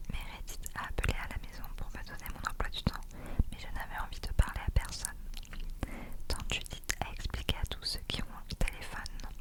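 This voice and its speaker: A woman reading a novel aloud in a soft, whispered ASMR style. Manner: soft unvoiced whispering throughout. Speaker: ASMR reader.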